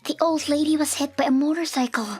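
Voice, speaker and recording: A woman voicing a frightened young child with loud, urgent cries in a manga dub. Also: high-pitched, steady tone, quick pace, voice pushed up, close to an everyday voice. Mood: panicked. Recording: music behind the voice.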